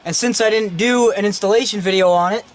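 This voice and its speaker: A man's voice: high-pitched, fast talker, soft background music. A man giving a casual, informal hands-on how-to narration as a DIY car vlogger while he works inside a vehicle. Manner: informal, talking while working with his hands. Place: inside a Jeep, handheld camera.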